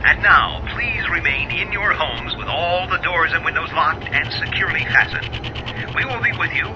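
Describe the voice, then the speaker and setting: A man's voice: a little high, lively intonation, normal speed, over music, lightly acted. A man playing a formal, urgent newscaster reading an emergency bulletin in a radio drama. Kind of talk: emergency news bulletin acting. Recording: dull radio sound, faint noise.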